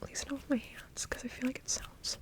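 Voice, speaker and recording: Soft whispering young woman, ASMR. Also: fairly quick. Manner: whispered, repeating words as trigger sounds. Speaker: ASMR creator. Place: her apartment. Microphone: close sensitive mic.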